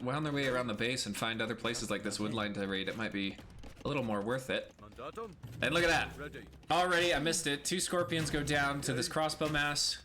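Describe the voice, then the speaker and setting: A man with a cold, hoarse and clearing his throat now and then, giving casual play-by-play commentary on a strategy game, calm analysis broken by excited exclamations. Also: medium pitch.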